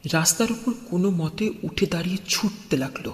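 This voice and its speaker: A man narrating a scripted horror story in a suspenseful, expressive, dramatic style. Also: sits high, brisk. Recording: long cavernous reverb.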